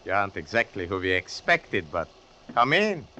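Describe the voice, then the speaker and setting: An actor playing a smiling, smoothly menacing man at a hideout door in a 1940s radio drama. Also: lowish voice, big pitch swings, moderate pace. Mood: slyly welcoming. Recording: noisy vintage 1940s radio broadcast.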